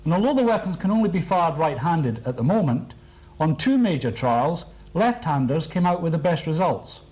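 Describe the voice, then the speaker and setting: A British man with a somewhat high-set voice presents a military training film. His delivery is a formal scripted briefing, precise and matter-of-fact throughout. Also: very animated, normal speed, a little mellow, low-quality recording.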